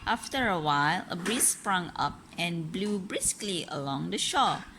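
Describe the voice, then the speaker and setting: Malaysian woman, slow and very animated storybook reader, sing-song, explaining to a child as she goes; noisy recording. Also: on the low side. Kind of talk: picture-book read-aloud.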